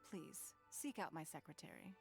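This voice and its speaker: An actress playing a poised, shrewd, wealthy noblewoman in a video game, speaking in a formal, measured, authoritative way. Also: low-pitched, lively intonation, gravelly. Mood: composed, commanding. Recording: game music behind.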